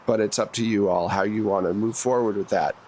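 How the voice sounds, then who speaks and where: A man's voice: on the low side, steady tone, brisk, darkish tone, a little hiss or hum, low-quality recording. A man, narrating conversationally as the game master of a tabletop game.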